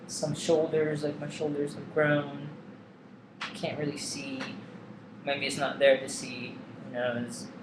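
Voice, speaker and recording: A man's voice: on the high side, a bit bright. A young man talking casually and candidly to camera, hesitant with many ums and likes. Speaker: vlogger. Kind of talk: casual personal vlog. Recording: echoey room, faint background hum.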